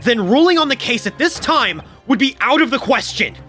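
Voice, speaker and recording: Woman voicing an earnest young male rookie lawyer, animated. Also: fairly high pitch, moderate pace, soft background music. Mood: urgent. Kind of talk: live fan dub of a courtroom game.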